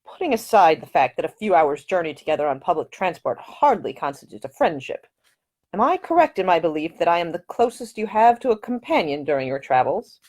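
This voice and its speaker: A woman's voice: lowish voice, sing-song, a little fast, darkish tone. Woman acting a grumpy, curt young nobleman. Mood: stern, disbelieving. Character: miserable, scowling, curt young English nobleman.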